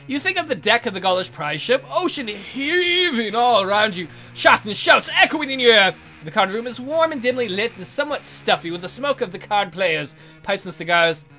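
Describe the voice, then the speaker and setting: Goofy lively man reading a text game. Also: high-pitched. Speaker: let's play host.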